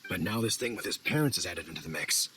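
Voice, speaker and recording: A man's voice: low voice, expressive, fast talker, noisy background. A man playing a friendly, caring middle-aged hunter in a video game, talking in a thoughtful, fatherly, conversational way. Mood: thoughtful, concerned. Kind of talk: video game character acting.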